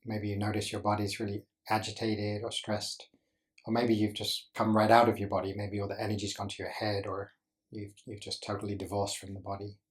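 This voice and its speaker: A man leading a guided meditation as a teacher, speaking gently in short instructional phrases with deliberate pauses. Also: on the low side, completely flat delivery.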